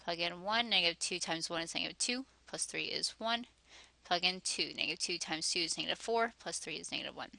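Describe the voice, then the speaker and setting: A woman math teacher talks through her working one step at a time, methodical and steady. Her voice sits a little low but sounds bright and tense, slightly hoarse, and the sound is dry and close. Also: brisk, no echo.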